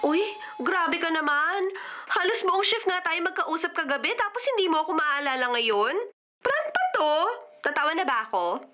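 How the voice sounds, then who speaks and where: A woman's voice: extremely high pitch, big pitch swings, normal speed, pushed and bright, heavily acted. An actress with a Filipino accent playing a wronged, sly ghost woman in a radio drama. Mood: incredulous. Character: wronged dead woman haunting her impostor, sly, insistent.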